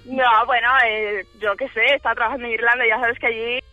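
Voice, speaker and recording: A woman's voice: a little high, steady tone, fast talker, no room sound. A woman from the Dominican Republic calling in on the phone, telling her own story in an informal, giggly way. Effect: telephone. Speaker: radio caller. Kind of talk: casual personal storytelling. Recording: tinny band-limited phone line.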